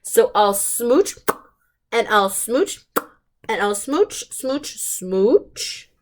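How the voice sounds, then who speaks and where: A woman voicing a joyful, affectionate male storybook fish in an exuberant, drawn-out singsong. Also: a little high, sing-song, exaggerated voice.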